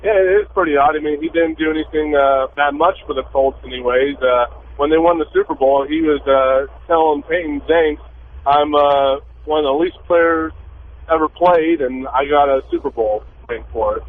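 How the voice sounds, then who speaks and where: A man phones in to a radio show, chatting in an easygoing, halting way. The sound is thin and phone-like, with light noise under it. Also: a little high. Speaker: caller. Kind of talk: phone-in chat. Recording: tinny phone line.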